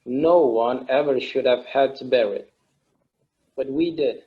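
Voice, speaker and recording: A man acting a reflective immortal character in a naturalistic table read, speaking in a halting, earnest, conversational way. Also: mid-range voice, lightly acted. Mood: wistful, solemn. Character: wistful, reflective immortal man confiding in his partner. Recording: slightly dull, lo-fi sound.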